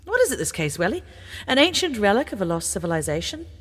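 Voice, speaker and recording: Woman playing a brash, animated Victorian female agent. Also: mid-range voice, fast talker, breathy edge, in a large hall. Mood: sardonic, curious. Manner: sardonic, bossy, theatrical. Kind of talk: scripted audio drama.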